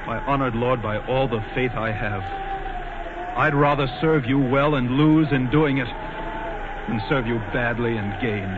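A man's voice: mid-range voice, measured pace, close to an everyday voice. An actor playing a loyal, earnest courier addressing his lord in a declamatory 1940s radio style. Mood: humble, devoted. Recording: noisy, dull 1940s radio broadcast.

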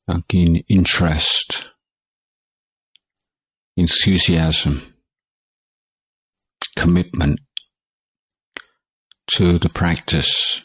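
A man teaching guided meditation in a calm, measured way, leaving long pauses between short phrases. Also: low voice, breathy edge, dry recording, rough recording. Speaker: Buddhist monk meditation teacher.

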